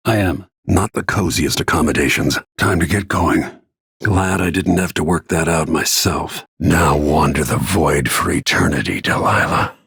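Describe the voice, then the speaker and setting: A grim middle-aged assassin from a video game. His voice is very husky and rasping, sitting low, with terse, restrained delivery. He sounds world-weary and brooding, recorded close and dry. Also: normal speed, a bit bright, no room sound, exaggerated voice. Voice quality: gravelly, husky. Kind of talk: scripted video game voice lines.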